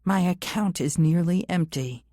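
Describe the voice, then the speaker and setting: Female audiobook narrator for a first-person thriller, deep-registered and soft-edged in tone, very dry recording. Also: a little slow, dry recording.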